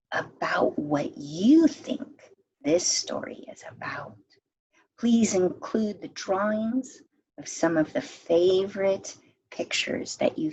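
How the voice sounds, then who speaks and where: A woman teacher reading a story aloud expressively, with deliberate dramatic pauses and hushed phrases. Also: fairly low pitch, breathy edge, highs cut off.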